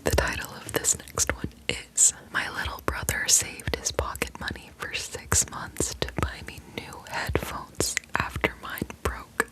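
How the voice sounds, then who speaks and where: A young woman hosting an ASMR channel, whispering softly as she reads stories, easily moved to tears. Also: measured pace, few pauses, rough recording.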